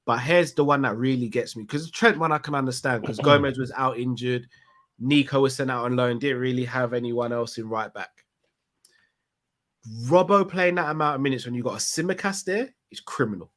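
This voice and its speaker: Male co-host on a live fan call-in, casual, reads viewer comments aloud, mid-pitched voice. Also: fairly quick, a bit bright. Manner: reads out viewer messages, laughs often.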